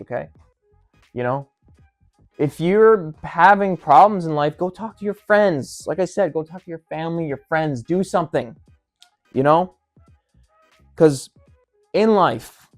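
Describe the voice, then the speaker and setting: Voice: medium pitch, a little mellow. A video commentator speaking straight to listeners in an opinionated, emphatic and repetitive way, often pleading. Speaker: video creator giving commentary.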